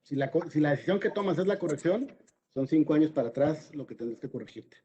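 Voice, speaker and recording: A man with a Mexican accent reading and answering questions in an informal legal panel, repeating words haltingly and often ending on a questioning tag. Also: on the high side, expressive, mellow, lo-fi sound. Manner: hesitant, repeats words, tags sentences with "¿no?".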